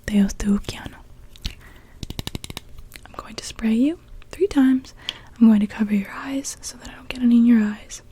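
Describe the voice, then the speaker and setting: A young woman playing a gentle, welcoming witch in a soft, soothing whispered ASMR roleplay. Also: a little high, moderate pace, husky. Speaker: ASMR performer.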